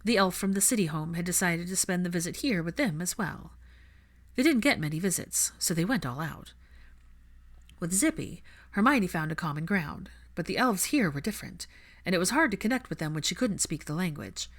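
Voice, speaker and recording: A woman's voice: fairly low pitch, animated, a little fast. A woman reading fan fiction aloud as a scripted story narration.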